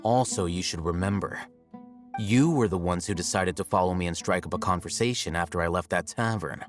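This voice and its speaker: A man playing a cool, calculating young scholar in a video game, articulate, measured and detached. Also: deep voice, soft background music, natural voice. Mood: detached, explaining.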